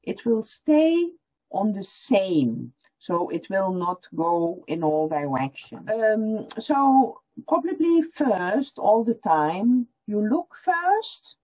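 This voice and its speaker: Patient woman demonstrating a craft on a video call; slow, expressive delivery, dull audio. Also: medium pitch. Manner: explains step by step, repeating lines in German. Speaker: felting teacher. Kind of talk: online workshop demonstration.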